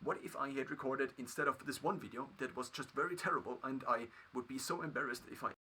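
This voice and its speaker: German-accented man, bright forceful voice, halting delivery with restarts, faint background hiss. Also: mid-range voice. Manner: halting, many restarts and fillers. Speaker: video creator. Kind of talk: reflective solo monologue.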